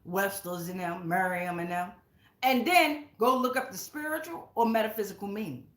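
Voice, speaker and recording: A woman's voice: mid-range voice, lively intonation, normal speed. Emphatic woman reading tarot in an echoey room. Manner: emphatic, blunt, freely swearing, addressing viewers directly. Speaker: tarot reader, channel host. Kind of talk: casual spiritual tarot reading to camera. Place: indoors at home.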